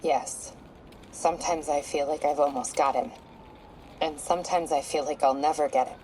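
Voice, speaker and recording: Female police captain played by an actress for a game: low register, terse, intense, frustrated. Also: steady tone, moderate pace, darkish tone, slight background noise, lightly acted, low-quality recording. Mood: weary, troubled. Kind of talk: video game voice acting, dramatic dialogue.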